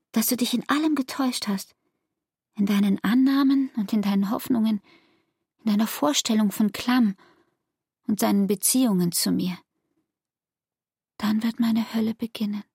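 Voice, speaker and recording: An actress playing a wounded, earnest young barmaid in love in a literary radio drama, with breathy, hushed, intense and measured phrasing. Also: female voice, medium pitch, dry recording, studio quality. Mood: bitter, reproachful.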